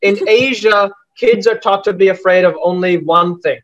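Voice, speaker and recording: A young man from Bangladesh doing a deadpan stand-up set over a video call, with dry delivery and frequent fillers. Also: high-pitched, moderate pace, slight background noise. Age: young adult. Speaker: stand-up comic. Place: small room indoors at home. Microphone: laptop webcam on a video call. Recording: muffled video-call audio.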